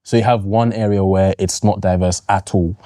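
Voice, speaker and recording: A man's voice: sits low, moderate pace, no room sound, clean studio sound. A young man from Tanzania, a guest on an interview show, talking casually with lots of fillers and repeated phrases. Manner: relaxed, repeats himself, many likes and fillers. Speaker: guest, comedian and streamer. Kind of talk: casual conversation.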